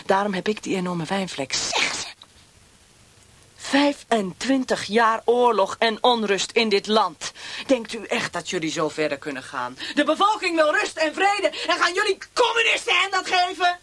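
Nasty bitter lady interrogator, radio play, high piercing voice, taunting and menacing. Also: lively intonation, moderate pace, fairly bright tone. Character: menacing, bitter disfigured female interrogator, fanatically loyal to regime.

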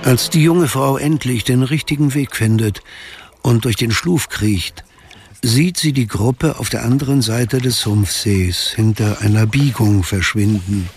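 Male actor as ominous thriller narrator, gravelly fry, unhurried, measured radio play delivery. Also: medium pitch, a little hiss or hum, close to an everyday voice. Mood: suspenseful.

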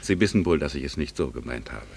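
Courtly middle-aged gentleman, very deep male voice, wistful irony, widely swinging intonation, 1960s radio drama. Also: animated, fast talker, breathy edge, heavily acted. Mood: ironic, probing. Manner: courtly, hesitant, drops to near-whisper. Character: wistful, ironic gentleman, a longtime secret admirer.

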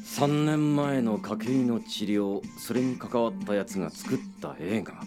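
An actor playing a gruff police detective who reports bluntly and briskly in a radio drama. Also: male voice, medium pitch, music in the background, lightly acted.

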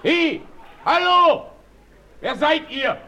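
An actor playing a bold frontiersman calling out loudly in a 1960s radio play. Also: extremely high pitch, expressive, drawn-out words, heavily acted. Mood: urgent hailing. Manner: loud calling out. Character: bold frontiersman hollering from the deck. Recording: slightly noisy old vinyl record.

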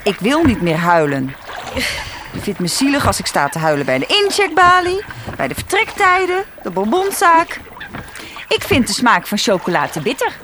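An actress playing a hurt, reproachful sister who stays at home, clipped in a Dutch radio play. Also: female voice, on the high side, huge pitch swings, normal speed, noisy background. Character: adult sister, bitter and hurt homebody. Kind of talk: radio drama acting.

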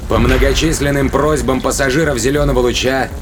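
A man playing a sardonic, impatient spaceship captain, gruff and muttering, in a sci-fi audio drama. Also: medium pitch, steady tone, fairly quick, noisy background, natural voice. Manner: muttering to himself, sarcastic, gruff commands. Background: dramatic music and effects.

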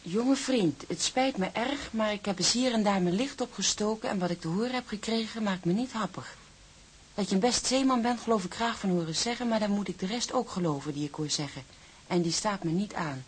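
A woman acting in a radio drama as a caring, anxious sailor's wife, homely and expressive. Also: mid-range voice, husky.

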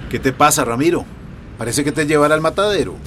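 A man's voice: fairly high pitch, fast, noisy background, lightly acted. An actor with a Latin American accent playing a fatherly, middle-aged police chief in a radio drama, reassuring and authoritative. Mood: firm, reassuring. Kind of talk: Spanish-language radio drama acting.

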